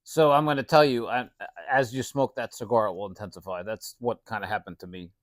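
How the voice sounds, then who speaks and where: A man's voice: fairly low pitch, fairly even intonation, a little fast, no room sound, clean studio sound. A man in his late forties, a shop owner, chatting casually as a guest in a plain-spoken, friendly and earnest way. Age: late 40s. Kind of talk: casual chat.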